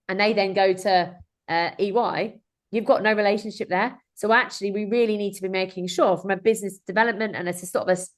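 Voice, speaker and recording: Female host, 30s, mid-range voice, conversational and rambling, video-call setting. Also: mid-range voice. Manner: chatty, informal, rambling with frequent self-corrections. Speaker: webinar host in recruitment. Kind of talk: informal webinar discussion. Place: indoor room at home or office, on a video call. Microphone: video call microphone.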